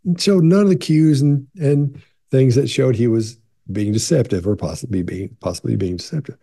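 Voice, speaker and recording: A man in his sixties with a gravelly voice, chatting casually to camera from his home office while answering viewer questions. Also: mid-range voice, normal speed, mellow. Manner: conversational, repeats and restarts, leans into reassurance. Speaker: body language expert.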